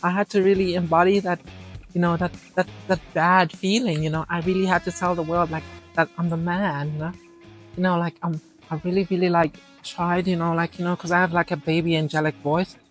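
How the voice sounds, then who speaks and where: A man impersonating a famous pop star in a comedic mock interview, rambling and filling every pause with "you know". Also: high-pitched, flat delivery, soft background music, lightly acted.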